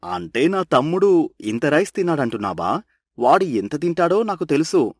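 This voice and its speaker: A man's voice: fairly high pitch, big pitch swings, moderate pace, a little mellow, breathy edge, heavily acted. Man playing a blunt, grumbling cartoon husband. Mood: irritated. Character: grumbling, teasing husband, easily irritated.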